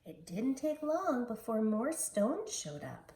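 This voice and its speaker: Slow, mellow woman reading in echoey room. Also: fairly high pitch, big pitch swings. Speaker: teacher reading a picture book aloud. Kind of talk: slow, expressive children's story read-aloud. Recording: roomy, distant mic with background noise.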